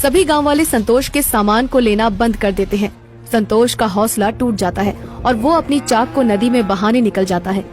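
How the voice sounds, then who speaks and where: A woman's voice: on the high side, normal speed, a little mellow. A woman telling a scripted cartoon story as its narrator. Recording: background music throughout.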